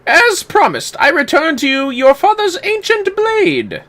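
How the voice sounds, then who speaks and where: A pompous, theatrical gentleman inspector. The voice is bright and pitched high, swooping grandly through florid video game dialogue. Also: huge pitch swings, a little slow, full character voice. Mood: self-satisfied.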